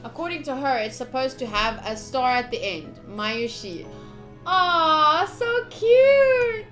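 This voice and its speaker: A young woman streaming a visual novel, reading the text aloud with casual, gushy, expressive commentary. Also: sits high, takes it slowly. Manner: expressive, gushy, slips into cute exclamations. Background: soft game music.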